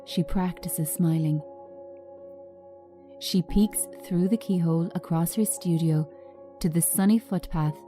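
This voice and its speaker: A woman giving a scripted narration of screenplay directions in a measured, understated manner. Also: lowish voice, steady tone, mellow, faint music behind.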